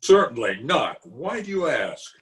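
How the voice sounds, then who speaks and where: A man's voice: a little high, sing-song, a bit bright. A man playing a pompous, blustering middle-aged uncle in an old-time radio comedy, drawing his words out indignantly. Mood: grumbling. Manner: pompous, indignant, drawn-out delivery. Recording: remote home recording over video call.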